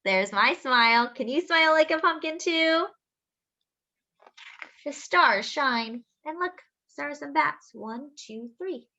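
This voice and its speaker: Lady doing story time for little kids, high bright voice, really expressive, bit lo-fi. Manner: expressive, sing-song, drawn-out phrasing aimed at small children. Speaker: children's story-time host reading a picture book aloud. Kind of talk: read-aloud storytelling for young children, with asides and questions.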